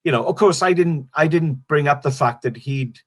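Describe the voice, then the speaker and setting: A man's voice: on the high side, normal speed. A middle-aged Welsh comedian chatting casually in an interview, rambling and restarting his sentences. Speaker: stand-up comedian guest.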